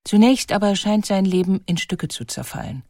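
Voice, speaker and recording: A woman narrating a scripted biographical documentary in a measured, articulate manner. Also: medium pitch, animated, darkish tone, no room sound, clean studio sound.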